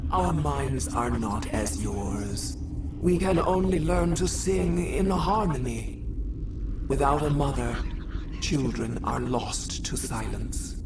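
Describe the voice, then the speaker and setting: Game creature: ancient hive queen, solemn and mournful, very slow halting delivery, distant with noise behind. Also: very deep voice, exaggerated voice. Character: ancient alien insect queen, mournful and stately, speaking collectively. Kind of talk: video game character acting.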